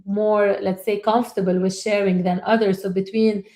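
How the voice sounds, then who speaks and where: A woman co-hosting a webinar, talking casually and haltingly, with frequent restarts and repeated words. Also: mid-range voice, level tone, warm tone. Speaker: webinar co-host.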